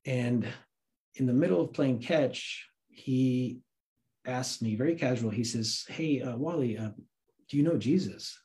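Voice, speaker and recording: Mellow American man telling a story. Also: mid-range voice, measured pace. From United States, grew up in Santa Cruz, California. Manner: informal, animated retelling with acted-out quotes. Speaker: interview guest, works in film. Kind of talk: casual personal storytelling.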